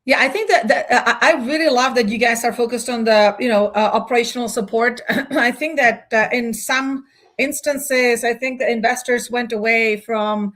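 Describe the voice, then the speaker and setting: A woman's voice: on the high side, moderate pace, fairly bright tone. A woman in her forties, from the Czech Republic, answering as a guest CEO in a business interview, thoughtful, with frequent fillers and restarts.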